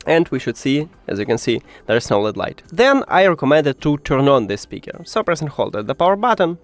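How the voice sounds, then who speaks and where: A man's voice: on the high side, sing-song, brisk, soft background music. A man presenting a step-by-step how-to tutorial in an instructive way, with non-native English phrasing.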